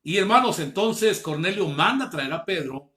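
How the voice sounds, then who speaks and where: Man in his fifties, earnest preacher, fairly high voice, reads scripture slowly. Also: animated, sharp tone. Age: 50s. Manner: earnest, repeatedly addressing listeners as brothers, slowing to read scripture. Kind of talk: online Bible class sermon.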